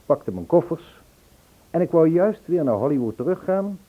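An actor playing an earnest, self-absorbed, worldly middle-aged composer in a radio drama, on a muffled, tinny old recording. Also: male voice, fairly high pitch, animated, normal speed. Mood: earnest, indignant.

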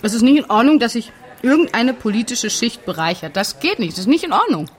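An actress playing an indignant, stubborn German expatriate in a conversational radio drama, talking bluntly and insistently. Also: female voice, fairly high pitch, animated, a little fast, close to an everyday voice. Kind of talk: conversational documentary drama, arguing.

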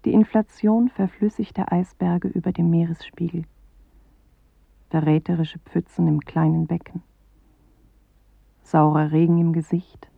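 A solemn, measured narrator of bleak poetry in a radio play. The voice is breathy and creaky, dry and close, in a narrow-band old recording. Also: medium pitch, dry recording, heavily acted. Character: grave poetic narrator reciting bleak apocalyptic images. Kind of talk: literary radio-play recitation.

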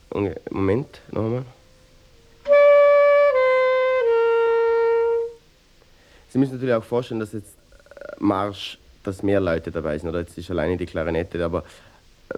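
An actor playing an earnest, obsessive amateur clarinetist in a mock-documentary radio play, rambling and interrupting himself in a Vorarlberg Austrian accent. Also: male voice, deep voice, close to an everyday voice. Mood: keen, explaining. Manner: rambling, halting, self-interrupting, fussy. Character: earnest, obsessive amateur clarinetist hunting a vanished brass band.

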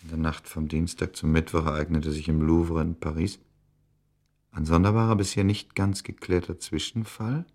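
An actor playing a serious, courteous scholarly doctor in a German radio drama. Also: male voice, sits low, fairly even intonation, moderate pace, darkish tone. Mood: reading aloud.

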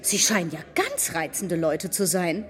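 A woman's voice: lowish voice, sing-song, measured pace. A woman acting in a radio play as a self-important newly married lady, gushing, affected and chatty. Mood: gushing, smug.

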